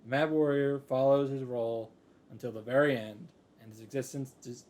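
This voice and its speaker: A man's voice: medium pitch, fairly even intonation, moderate pace, darkish tone. A man reading visual novel narration aloud in a dramatic style, reacting off the cuff.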